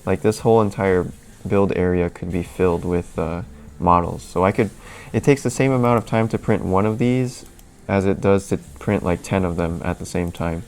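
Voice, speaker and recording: Low gravelly man chatting on a livestream. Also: moderate pace, hardly any pauses. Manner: relaxed, rambling, reads and answers chat. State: a little sniffly. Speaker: livestream host. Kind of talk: casual livestream chat.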